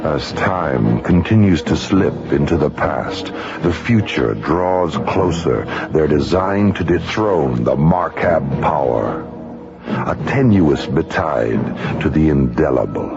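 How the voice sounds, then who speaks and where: A man's voice: a bass voice, animated, takes it slowly, vocal fry, exaggerated voice. A man narrating a radio drama in a grave, solemn, portentous documentary style. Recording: dull radio recording, music behind.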